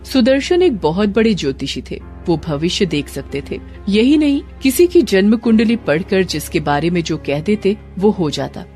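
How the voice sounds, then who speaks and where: A woman's voice: mid-range voice, very animated, moderate pace, few pauses, mellow, faint music behind. A woman narrator delivering dramatic, scripted storytelling for a cartoon.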